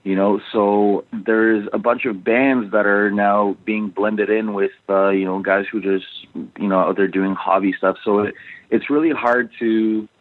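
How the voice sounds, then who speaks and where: Polish-born musician guest, low voice, chatty and loose, phoned in. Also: male voice, fairly even intonation, measured pace, no echo. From Poland. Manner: rambling, many "you know" fillers. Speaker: bassist and backing vocalist, guest. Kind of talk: casual interview answers. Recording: tinny phone line, dry.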